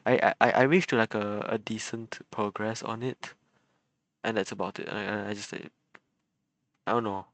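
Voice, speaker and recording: A man's voice: lowish voice, fairly even intonation, moderate pace, long pauses, dry recording. A man livestreaming a game, chatting casually with viewers, chuckling often and stammering over repeated words. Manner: chuckles often between remarks.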